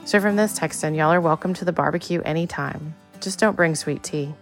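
Texan woman, low gravelly voice, wry breezy comments, faint music behind. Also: brisk. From Texas. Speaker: sound designer. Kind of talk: casual commentary.

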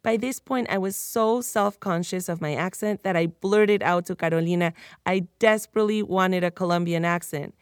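A woman's voice: fairly low pitch, normal speed, smooth, no echo, studio quality. A woman, a reporter narrating a podcast story in a candid, personal way. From United States (Miami). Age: 30s.

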